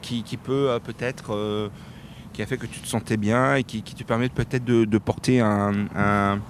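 A man hosting an interview, asking questions in a halting way with frequent fillers. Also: on the low side, steady tone. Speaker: interviewer, show host. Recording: faint background noise.

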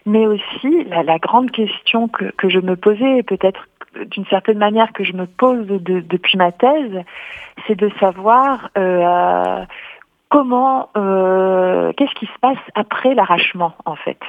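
A woman's voice: medium pitch, a little slow, dry recording. A woman author answering radio interview questions, hesitant, with many fillers and restarts. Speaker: author and translator, interview guest. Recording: tinny phone-like line, dry.

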